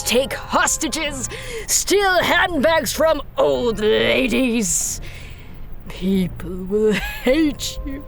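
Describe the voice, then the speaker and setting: A woman's voice: high voice, full character voice. A woman playing a businesslike yet teasing recruiter of henchmen in an audio drama, with breezy corporate polish. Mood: wry, theatrical.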